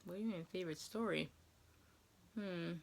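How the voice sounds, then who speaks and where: Creaky low-voiced young American woman. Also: normal speed, slight background noise. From New England, USA. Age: 20s. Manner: rambling, hesitant, thinking aloud. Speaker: vlogger answering viewer questions. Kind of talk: casual question-and-answer chat, reading questions aloud.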